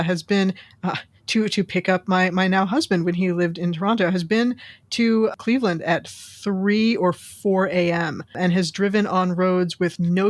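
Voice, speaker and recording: A woman's voice: lowish voice, no echo. A woman speaking earnestly and with some drama in a podcast discussion. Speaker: podcast co-host.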